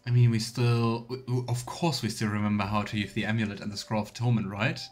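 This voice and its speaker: A man's voice: lowish voice, little pitch movement, fast talker, a little mellow, soft background music. A man streaming a video game with casual, musing commentary, thinking aloud as he plays. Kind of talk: casual let's play commentary.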